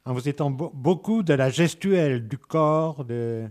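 Guy interviewer, voice a bit on the high side, kinda halting, rephrases his questions a lot. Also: expressive, a little mellow.

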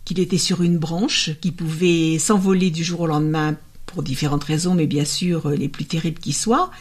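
A woman's voice: low voice, steady tone, moderate pace. Woman interviewer with a discursive manner. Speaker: interviewer, host. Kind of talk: conversational interview.

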